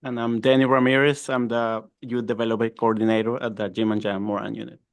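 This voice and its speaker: A man's voice: medium pitch, level tone, brisk, dry recording. A man from Mexico with a Mexican accent, a youth coordinator speaking earnestly at a city commission meeting. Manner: earnest, plain-spoken. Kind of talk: public comment at a city meeting.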